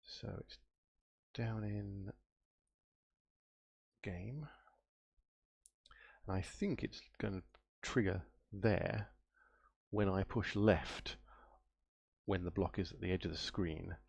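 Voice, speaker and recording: A man's voice: low-pitched, slow pace, gravelly, long pauses, darkish tone, husky, no echo. A man with a nasal voice from a cold, live-streaming his game coding and thinking aloud, muttering and trailing off as he searches for things. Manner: muttering to himself, trailing off and spelling words out while searching.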